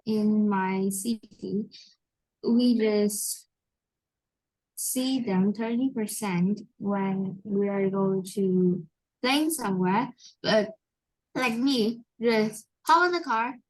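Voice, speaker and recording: A young woman with a Vietnamese accent talks slowly and carefully in an English lesson. She stops often to hunt for words and starts her sentences over. Her pitch sits in the middle range. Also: poor audio. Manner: halting, searching for words, frequent restarts. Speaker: English learner. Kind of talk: online English lesson conversation.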